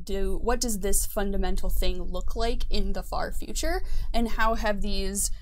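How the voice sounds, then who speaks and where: A woman's voice: medium pitch, a little slow, slight background noise, rough recording. A woman talking casually and enthusiastically as a guest game developer, explaining a tabletop game setting.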